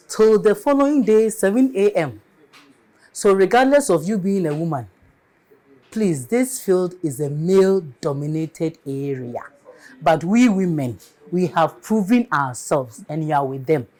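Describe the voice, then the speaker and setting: A woman with a Ghanaian accent answering interview questions as an air traffic controller, explaining things step by step in a deliberate, emphatic way. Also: low voice, mellow.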